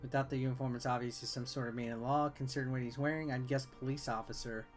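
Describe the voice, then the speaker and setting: A man's voice: medium pitch, fairly even intonation, fast talker. A man reading visual novel text aloud as a game player, with offhand, wry asides. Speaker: video game reviewer and let's player. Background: game music.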